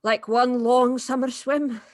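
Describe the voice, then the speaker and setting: A woman acting a tough, wry accomplice, higher-pitched. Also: steady tone, slow, darkish tone, no room sound, studio quality. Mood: quiet, wistful. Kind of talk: dramatic script read-through.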